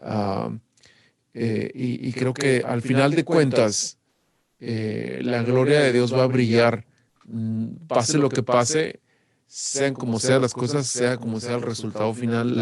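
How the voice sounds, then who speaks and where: Earnest middle-aged Guatemalan man, mid pitch, dry sound. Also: takes it slowly, creaky, no room sound. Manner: calm, earnest, devout. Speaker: guest, music producer. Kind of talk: casual interview chat. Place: home studio.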